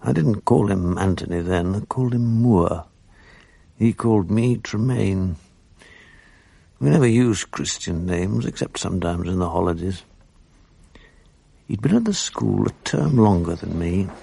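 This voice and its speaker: Dry, gravelly, low English narrator. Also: male voice, lively intonation, warm tone, no echo, heavily acted. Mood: wistful, reflective. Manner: measured, articulate, reflective. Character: reflective grown man recalling his English boarding-school days. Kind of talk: literary first-person drama narration.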